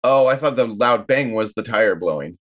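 A woman's voice: rumbling low, animated, moderate pace. A woman playing a tabletop game, chatting casually and loosely in slang. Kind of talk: casual improvised chat.

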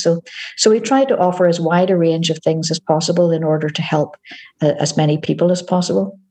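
A woman with a Northern Irish accent, a community organisation director, giving calm, measured answers as a podcast interview guest. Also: deep voice. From Northern Ireland.

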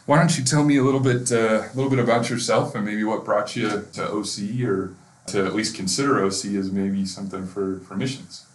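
Male podcast host, very deep voice, affirming and rambling, roomy echo. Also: brisk. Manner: friendly, affirming, rambling questions. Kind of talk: casual podcast interview. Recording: echoey room.

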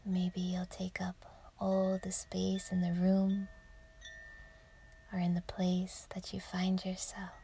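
A woman guiding a yoga nidra meditation in a soft, breathy near-whisper, gentle and soothing, with unhurried pauses. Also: fairly low pitch, monotone, slight background noise. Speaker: meditation guide.

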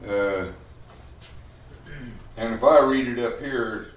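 A man teaching a Bible class in a loud, emphatic preaching voice, often asking his listeners rhetorical questions. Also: medium pitch, slow pace, distant mic. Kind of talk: Bible study sermon. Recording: roomy hall, mic from afar, faint noise.

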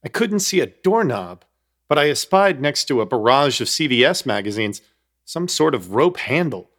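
A man's voice: medium pitch, very animated, normal speed, fairly bright tone. A man narrating a comic horror radio play in an ornate, mock-grave, wry storytelling manner.

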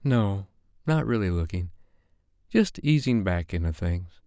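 A man voicing a gentle, tentative but resilient woman in an audiobook. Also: deep voice, expressive, unhurried, no echo, clean studio sound. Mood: guarded.

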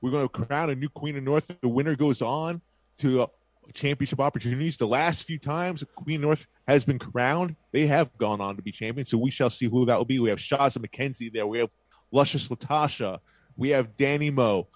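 A man's voice: medium pitch, moderate pace, dry recording. A man co-hosting a podcast in casual chat, talking with eager hype about upcoming events. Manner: enthusiastic hype-style promo delivery. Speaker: podcast co-host.

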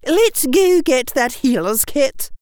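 Strict dignified witch teacher, high voice, slow and formal, bossy, audiobook character. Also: expressive, a little mellow, no echo, studio quality. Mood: resigned. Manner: measured, authoritative, formal.

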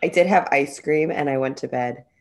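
A woman's voice: deep voice, normal speed, darkish tone. A young woman co-hosting a podcast, talking casually and rambling with lots of "like.". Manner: rambling, many "like" fillers, speaks in bursts. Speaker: podcast co-host. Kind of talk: casual chat.

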